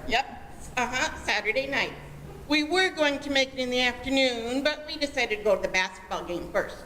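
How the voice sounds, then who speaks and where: A woman's voice: sits high, animated, normal speed, pushed and bright. A woman acting a dreamy, melodramatic seventeen-year-old daughter in a 1940s-style radio comedy. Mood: blithe. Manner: gushing, dramatic, petulant. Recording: reverberant stage, faint noise.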